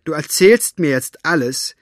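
A man voicing a gruff, sharp-tongued woman book collector who talks brusquely and mockingly. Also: on the high side, expressive, measured pace, no room sound, clean studio sound, pitched above the performer's own voice.

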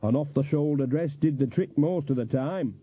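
A man's voice: a little high, animated, normal speed, husky, voice pushed up. A man voicing a cheerful, mischievous older woman witch with a bantering manner, in an audiobook story. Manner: happy, bantering. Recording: echoey, narrow phone-like audio.

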